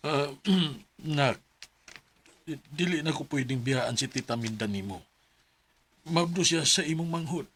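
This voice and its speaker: A man acting a calm, understanding middle-aged father in a radio drama. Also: on the high side, measured pace, long pauses, a little hiss or hum. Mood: patient, earnest.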